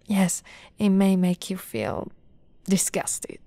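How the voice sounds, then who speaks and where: A young woman playing an affectionate, teasing lamia girlfriend in an ASMR roleplay, soft-spoken and often whispering, with a breathy, coaxing delivery close to the mic. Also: mid-range voice, animated, no room sound. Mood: reassuring. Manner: slow, breathy, coaxing delivery with pet names. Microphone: close, dry microphone.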